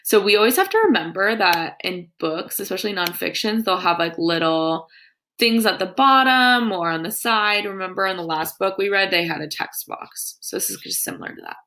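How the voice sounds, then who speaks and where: A woman teacher with a creaky voice reading aloud to young children in an instructive way, with her own comments and questions. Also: mid-range voice, animated, normal speed, sharp tone.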